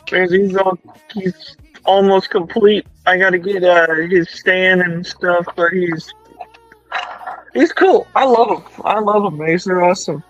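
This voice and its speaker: A man in his thirties chatting on a stream about his toy collection, excited and rambling with lots of restarts. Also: sits high, unhurried, no room sound, muffled recording, soft background music. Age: 30s. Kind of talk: casual chat.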